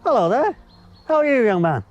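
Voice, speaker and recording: Casting: cheery middle-aged ex-teacher, high swooping voice, effusive film small talk. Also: male voice, very animated, a little fast, a little mellow, highs cut off, soft background music. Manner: effusive, repeats himself. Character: chirpy, kindly older male former schoolteacher.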